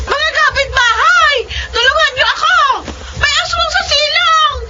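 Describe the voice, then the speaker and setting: A woman's voice: extremely high pitch, a little slow, raised voice, very noisy. An actress playing a frightened, panicky pregnant village woman in a radio horror drama. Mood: terrified. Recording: dull radio audio with music.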